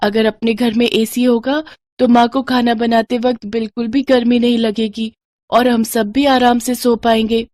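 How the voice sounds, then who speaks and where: Woman as a high-voiced wistful little girl. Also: flat delivery, moderate pace, darkish tone, no room sound, lightly acted. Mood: pleading. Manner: childlike, pleading. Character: poor, innocent little girl, wistful and polite.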